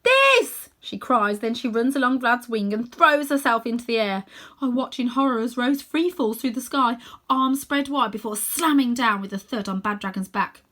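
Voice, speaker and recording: A woman narrating a children's story in a dramatic, expressive, suspenseful way with clear emphasis. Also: on the high side, moderate pace. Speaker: storybook reader, teacher.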